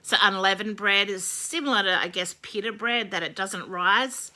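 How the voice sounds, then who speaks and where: A woman with a slightly croaky voice explaining a holiday in a casual, meandering way, with many ums and self-corrections. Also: mid-range voice, moderate pace, sharp tone. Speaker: video host explaining a holiday. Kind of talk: casual explanatory talk to camera.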